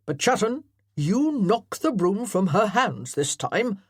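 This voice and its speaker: A narrator voicing an older retired colonel who barks orders at amateur actors in a loud parade-ground manner. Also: medium pitch, sing-song, slow pace, breathy edge, dry recording, studio quality, pitched above the performer's own voice, exaggerated voice. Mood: commanding. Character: commanding older male colonel, drill-sergeant bark.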